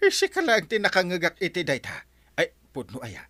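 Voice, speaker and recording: A man with an Ilocano accent playing an excitable, vain, comic village man in a Filipino radio comedy drama. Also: high-pitched, moderate pace, dry recording, full character voice. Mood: defensive, playful.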